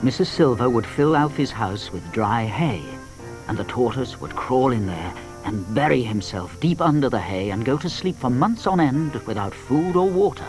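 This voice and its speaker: A man narrating a children's story in an expressive, dramatic storytelling manner. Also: mid-range voice, moderate pace, soft background music, low-quality recording.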